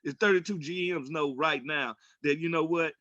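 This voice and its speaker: A man's voice: on the high side, moderate pace, pushed and bright, no room sound, highs cut off. A man in his forties co-hosting a sports podcast with casual, colloquial, joking banter and strong opinions. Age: 40s.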